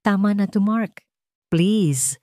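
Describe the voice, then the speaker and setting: A woman's voice: mid-range voice, slow pace, dark tone, dry recording, clean studio sound, pitched above the performer's own voice, natural voice. A woman voicing a desperate wife who is wrongly accused, pleading emotionally in a dramatic audio story.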